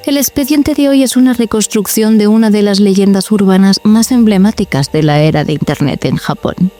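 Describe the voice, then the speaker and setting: Woman acting as solitary female archivist, dark mellow voice, measured and solemn, enigmatic, audio-drama narration, faint music behind. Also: medium pitch, lightly acted. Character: solitary female archivist cataloguing eerie tapes. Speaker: host.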